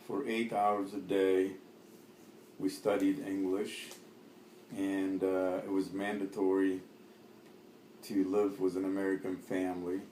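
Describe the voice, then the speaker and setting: A Lebanese-born man in his fifties, Arabic his first language, answering an oral history interview in a halting way with many fillers and restarts. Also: deep voice, dark tone, reverberant, slight background noise.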